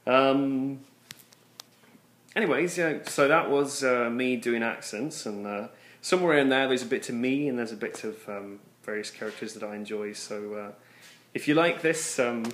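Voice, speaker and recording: A man's voice: medium pitch, normal speed, a bit bright. A man chatting casually to camera while putting on a run of imitated accents, hesitant and full of fillers, recorded in a room at his workplace. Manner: talks through put-on imitated accents, hesitant with many fillers. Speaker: vlogger showing off accent imitations. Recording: roomy echo.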